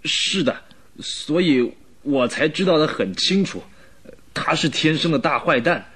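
Nervous middle-aged butler, husky, slightly high voice, stammering, hesitant, radio play. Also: male voice, lively intonation, measured pace, a bit bright, heavily acted. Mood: bitter, agitated. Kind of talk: radio drama acting.

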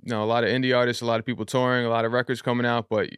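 A young man talks through his story in an easy, rambling way, dropping fillers as he goes. His voice is smooth and resonant, mid-pitched, and recorded close and dry with no room sound. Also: flat delivery, rapid-fire, fairly bright tone, no echo. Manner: loose, chatty, frequent fillers. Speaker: rapper, podcast guest. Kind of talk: casual interview talk.

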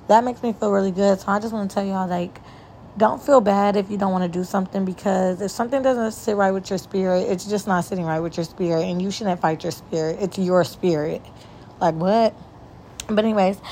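A young woman hosts a casual faith-based podcast. Her voice is raspy all the time, and she chats loosely and warmly with her listeners, often calling them "y'all". A faint hiss sits under the recording. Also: medium pitch, brisk. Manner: chatty, addresses listeners as "y'all". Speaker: podcast host.

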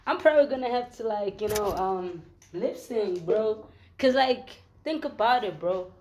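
A woman with a flu-roughened voice, talking casually to her viewers in a rambling vlog, full of slang and repeated fillers. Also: medium pitch, a little mellow, slight background noise. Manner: slangy, repeats fillers like "you know what I'm saying". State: hoarse from flu. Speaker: vlogger.

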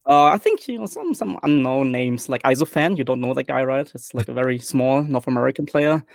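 A man's voice: medium pitch, brisk, gravelly, darkish tone, no echo. Jokey German man with a dry sound. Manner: jokey, laughs often, repeats words. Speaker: podcast guest. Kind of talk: casual chat.

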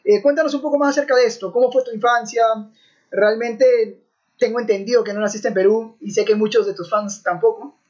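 A woman's voice: on the high side, fairly even intonation, a little fast, darkish tone. A young woman, hosting a podcast in a friendly, conversational way. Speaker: podcast host. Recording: dull lo-fi sound.

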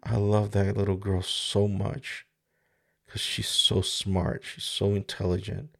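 A man in his forties from the Dominican Republic, hosting a reflective solo podcast. He talks in a halting, searching way, with many pauses and restarts, into a studio microphone. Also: fairly low pitch, flat delivery, vocal fry, fairly bright tone. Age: 40s. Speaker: podcast host. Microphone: studio microphone with mixer.

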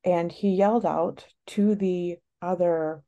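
Woman podcast co-host, sniffly, mellow tone, slow delivery, casual and rambling. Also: fairly low pitch, fairly even intonation, lo-fi sound. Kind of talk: casual chat.

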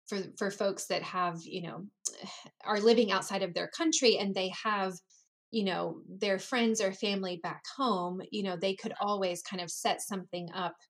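This is a woman from the United States, speaking in a relaxed, casual way. She chats as a co-host, with fillers and restarts and a middle pitch. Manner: chatty, loose, with many fillers. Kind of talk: casual conversation.

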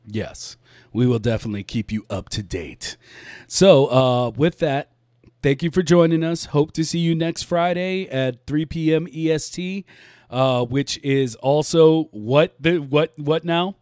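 A man's voice: on the high side, measured pace, darkish tone, dry recording, clean studio sound. A man hosting a casual live-stream chat, laid-back, laughing often and repeating his words. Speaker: podcast host.

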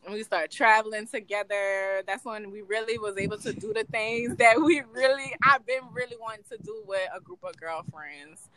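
A woman in her twenties co-hosting a podcast, chatting casually and telling stories in a relaxed, drawn-out way. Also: medium pitch, low-quality recording. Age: 20s. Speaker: podcast co-host. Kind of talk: casual chat.

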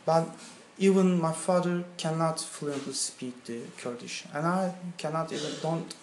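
A young man from Turkey with a Turkish accent, answering interview questions in halting English as a non-native speaker. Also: fairly high pitch, steady tone. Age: young adult. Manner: halting, searching for words in English. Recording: echoey room with faint ambient noise.

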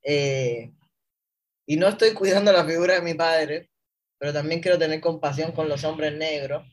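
A man in his thirties with a Cuban accent, a guest on a radio interview, speaking reflectively with many pauses and fillers while he searches for words. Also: a little high. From Cuba. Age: 30s. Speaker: guest, historian.

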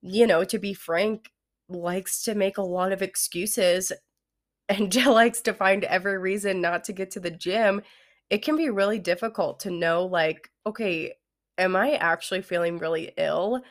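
A woman's voice: mid-range voice, fairly even intonation, unhurried. A young woman with a head cold, her voice nasal and congested, chatting casually as a fitness coach giving advice on her podcast with lots of "like" and "you know".

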